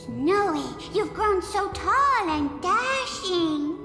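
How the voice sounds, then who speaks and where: A woman voicing a tiny, excitable female fairy with a cutesy, theatrical manner for a fantasy video game. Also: extremely high pitch, extremely slow, heavily acted. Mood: excited, delighted. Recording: echoey, long reverb, music behind.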